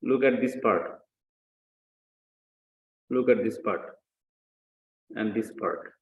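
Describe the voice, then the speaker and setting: A man's voice: lowish voice, slow. A man giving a lecture as he works through an example step by step, repeating phrases and checking understanding with short questions. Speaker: accounting lecturer. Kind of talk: teaching lecture with worked example. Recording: echoey room, dull muffled sound.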